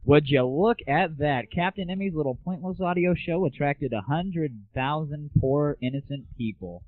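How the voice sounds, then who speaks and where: A man's voice: fairly high pitch, normal speed. Sarcastic man on a tinny recording. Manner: sarcastic, irreverent, crude. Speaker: comedy audio show host. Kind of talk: casual solo rant. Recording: dry, muffled narrow-band audio.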